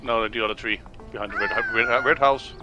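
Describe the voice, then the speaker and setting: A man's voice: medium pitch, steady tone, brisk, over music. A man chatting casually as co-commentator on a game playthrough, rambling and repeating his words as he goes. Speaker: gaming stream co-commentator.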